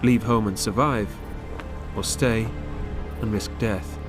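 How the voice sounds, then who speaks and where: A man narrating a documentary from a script in a measured, grave manner. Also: fairly low pitch, steady tone. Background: music under the voice.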